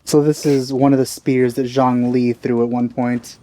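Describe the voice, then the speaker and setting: Mellow-voiced man commentating on a game. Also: mid-range voice, level tone, normal speed. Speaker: let's play host. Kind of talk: casual gameplay commentary. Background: game music.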